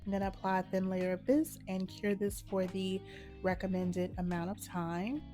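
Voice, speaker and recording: A woman hosting a nail art channel, slightly hoarse from a cold, talking viewers through a manicure step by step in a relaxed, matter-of-fact way. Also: mid-range voice, normal speed, faint music behind. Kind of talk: casual step-by-step tutorial narration.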